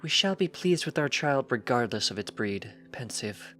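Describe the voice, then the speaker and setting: Guy doing a careful worried pony wife, high voice, chiding, storybook character. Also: lively intonation, normal speed, fairly bright tone, faint music behind, lightly acted. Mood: patient chiding. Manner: chiding, precise. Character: careful pregnant female pony lab assistant, fretful patient wife.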